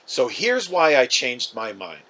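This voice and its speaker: A man giving an expressive first-person reading of a children's book, as an actor narrating. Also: medium pitch, measured pace.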